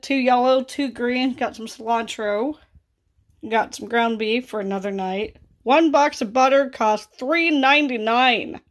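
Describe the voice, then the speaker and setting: A woman in her thirties with a scratchy, raspy voice and vocal fry, chatting casually through a grocery haul in her kitchen. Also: a little high. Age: 30s. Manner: chatty and offhand, rising into exclaimed asides. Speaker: vlogger. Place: home kitchen, indoors.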